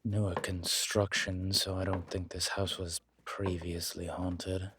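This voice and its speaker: A man playing a long-lived demonology professor in an audio roleplay, calm, protective and gently wry, speaking in a measured, deliberate way. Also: sits low, background noise. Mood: grave, explaining. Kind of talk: slow intimate audio roleplay acting.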